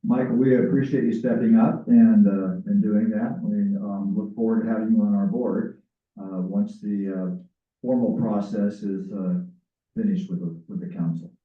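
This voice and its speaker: A man chairing a library board meeting, speaking haltingly with frequent filler sounds. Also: on the low side, steady tone. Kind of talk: running a public board meeting. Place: council meeting room. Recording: echoey chamber, distant tinny mic.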